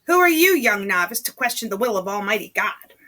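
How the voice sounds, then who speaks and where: A woman's voice: medium pitch, big pitch swings, fairly quick, sharp tone. A woman voicing a stern, imperious old Mother Superior who scolds with heavy emphasis in a story reading. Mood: stern rebuke.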